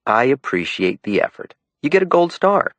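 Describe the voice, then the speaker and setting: Playful young woman, voiced by a man, light teasing delivery, higher pitch, dry close recording. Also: sing-song, normal speed, a bit bright, no echo.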